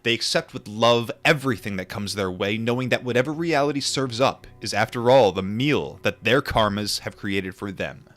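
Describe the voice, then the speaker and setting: A man reading a book aloud and adding informal asides, in a measured, deliberate manner. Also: lowish voice, fairly bright tone, soft background music. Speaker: audiobook reader and commentator.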